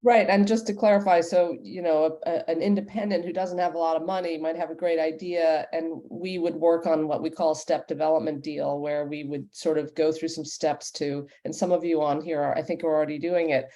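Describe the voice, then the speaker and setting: A woman's voice: sits low, steady tone, fairly quick, few pauses, warm tone. A woman moderating a professional online panel and putting audience questions to the guests. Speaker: panel moderator and audio development executive.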